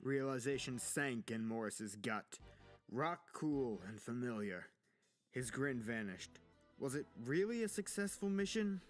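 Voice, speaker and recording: Male audiobook narrator, mid-pitched voice, dramatic scripted fiction reading, guitar music clearly behind. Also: lively intonation, unhurried. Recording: music playing under the voice.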